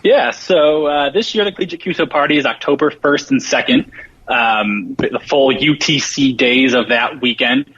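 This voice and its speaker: A man with a rough, husky voice explains things casually and informatively as a guest. The sound is somewhat dull and lo-fi, like radio. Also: mid-range voice, normal speed, fairly bright tone. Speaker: guest, event organizer.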